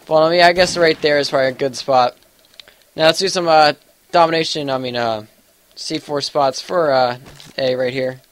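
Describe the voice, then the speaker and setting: A man's voice: fairly high pitch, a bit bright. Congested young man rambling over gameplay. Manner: rambling, full of fillers and hedges. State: congested with allergies. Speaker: gaming YouTuber. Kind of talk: casual gameplay commentary.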